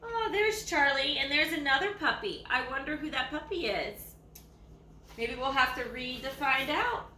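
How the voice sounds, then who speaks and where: A woman with a Southern US accent reads a picture book to young children. Her voice is high and bright, and she explains things with questions in a child-directed way. The room is echoey, with faint background noise. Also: animated, normal speed, distant mic. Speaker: teacher reading to young children. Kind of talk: children's story read-aloud.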